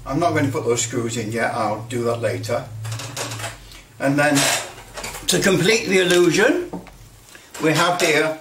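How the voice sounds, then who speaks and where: A man giving a casual hands-on commentary as a hobbyist, halting and muttering a little to himself as he works. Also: a little high. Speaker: hobbyist presenter. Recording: roomy, distant mic with light noise.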